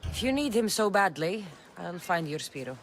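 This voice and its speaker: A woman's voice: on the low side, very animated, normal speed, a little hiss or hum. An actress playing a bold, self-assured female mercenary of ancient Greece in a video game, direct and confident. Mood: wry, sympathetic. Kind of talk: dramatic video game dialogue.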